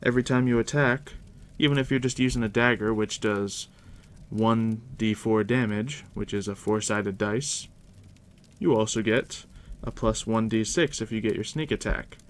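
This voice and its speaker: A man softly near-whispering in an ASMR roleplay as a game master, casual and unhurried with a dry, teasing manner. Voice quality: deep, hushed near-whisper. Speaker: ASMR roleplay host, playing a dungeon master guiding a new player. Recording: steady background noise, crackling fire ambience. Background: fire crackle.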